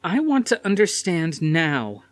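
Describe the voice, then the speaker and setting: A man's voice: a little high, very animated, unhurried, dry recording, pitched above the performer's own voice. A man voicing a spoiled, petulant young woman with a whiny, melodramatic delivery in an audiobook. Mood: indignant, petulant. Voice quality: whiny, gasping.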